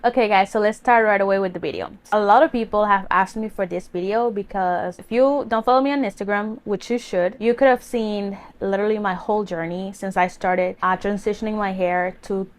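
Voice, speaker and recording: A woman's voice: mid-range voice, fairly even intonation, brisk, hardly any pauses. A woman in her twenties from the Republic, talking casually to camera as a vlogger in a chatty, earnest way. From Dominican Republic. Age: 20s. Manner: chatty and earnest, leaning on "like" as filler. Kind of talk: casual vlog talk to camera.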